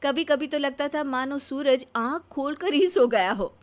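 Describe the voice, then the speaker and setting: A woman narrating a scripted comic story in a wry, expressive storyteller's manner. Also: high voice, measured pace.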